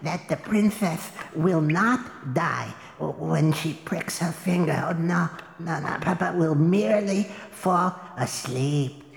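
A woman's voice: low voice, slow pace, vocal fry, full character voice. A woman voicing a timid, fawning bat henchman in a fairytale audio drama, stammering nervously and hesitantly. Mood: anxious. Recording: echoey cave-like reverb, faint noise.

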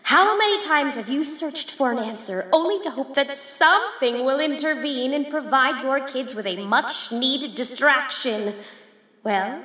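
A woman's voice: high voice, sharp tone, roomy sound, exaggerated voice. A woman playing an over-the-top, cheery theme park announcer in a theatrical parody radio commercial. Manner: theatrical, drawn-out, salesy.

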